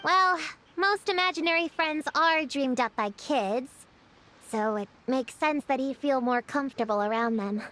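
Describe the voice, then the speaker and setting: High-voiced, prim young skeptic girl. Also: a little slow, fairly bright tone. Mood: skeptical. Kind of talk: video game character acting. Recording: game music behind the voice.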